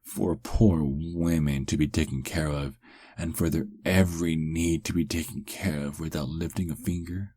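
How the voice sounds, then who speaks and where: A man's voice: a bass voice, steady tone, moderate pace, dark tone, breathy edge, no room sound. A man playing a cocky, sarcastic young adult werewolf held captive, in an ASMR roleplay with a taunting, sardonic drawl. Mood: taunting, smug.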